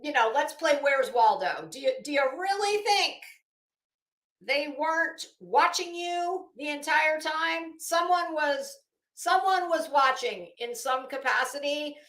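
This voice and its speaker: A woman's voice: high-pitched, bright, forceful tone. A middle-aged woman delivering a mocking, theatrical monologue, rambling and sarcastic. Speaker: host. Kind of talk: mocking dramatic commentary monologue. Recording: echoey room.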